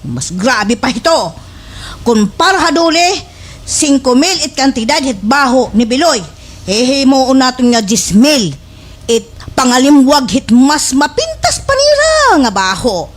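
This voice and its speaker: Woman acting as a envious scheming village busybody, waray filipino accent, really high and all over the place, indignant radio comedy. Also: sing-song, a little slow, heavily acted. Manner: exaggerated, indignant, theatrical.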